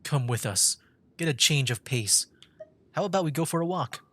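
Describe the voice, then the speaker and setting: Very low-voiced woman streamer. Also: lively intonation, moderate pace. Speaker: streamer playing a game. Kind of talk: casual gameplay commentary, reading dialogue choices aloud.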